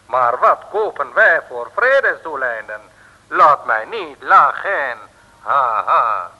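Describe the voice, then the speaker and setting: Radio-play villain: cold, measured secret agent with a bright, forceful, husky voice. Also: male voice, mid-range voice, very animated, lo-fi sound, exaggerated voice. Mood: scornful. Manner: slow, measured, pompous pronouncements. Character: sinister male secret agent, coldly menacing villain. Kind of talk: 1950s Dutch radio play acting. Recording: old radio recording with long reverb.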